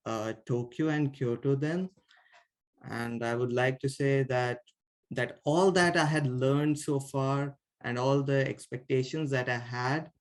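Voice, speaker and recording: A young man from India, a bachelor's student, giving an informal talk, with frequent "like" fillers and restarts. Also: medium pitch. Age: young adult. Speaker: student speaker. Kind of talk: informal presentation.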